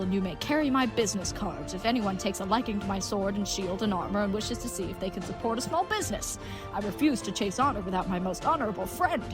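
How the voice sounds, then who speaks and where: A woman's voice: mid-range voice, close to an everyday voice. A woman playing an earnest, good-hearted but dim-witted knightly leathersmith in a comedic audio drama, speaking in florid, mock-archaic phrases. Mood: proud, gallant.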